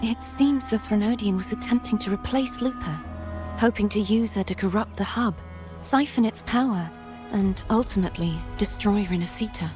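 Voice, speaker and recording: Woman acting solemn young warrior, gravely formal, music behind. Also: mid-range voice, moderate pace, natural voice. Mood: grave, composed. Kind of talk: video game voice acting, measured exposition.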